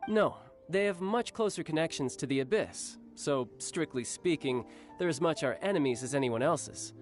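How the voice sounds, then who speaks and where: An actor playing a cocky young male warrior and doting older brother in a game cutscene, smooth and teasing. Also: on the high side, lively intonation, moderate pace, soft background music, lightly acted.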